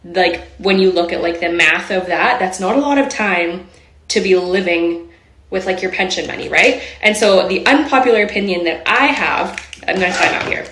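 A woman's voice: fairly low pitch, normal speed, fairly bright tone, echoey room, a little hiss or hum. A woman in her thirties giving a casual, chatty rant in a video, swearing freely and laughing now and then. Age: 30s. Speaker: social seller in a played clip.

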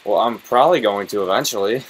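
A man's voice: on the low side, fast, slightly rough, poor audio. A young man casually commenting on a game he is playing, blunt and full of swearing. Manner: blunt, profane. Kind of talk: casual let's play banter.

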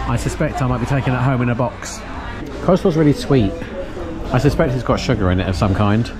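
A man's voice: mid-range voice, fast. A middle-aged British man narrating a casual food and shopping vlog in a chatty, dry, self-mocking way, filmed on a handheld camera. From United Kingdom. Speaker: vlogger. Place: restaurant, car and store. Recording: music bed under the voice.

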